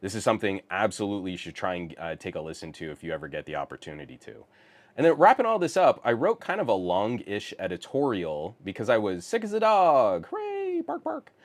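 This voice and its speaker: A man's voice: fairly low pitch, a little fast. A man hosting a tech news podcast live, chatting casually in a rambling way and reading out the stream chat. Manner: animated, rambling, restarts, reads chat aloud.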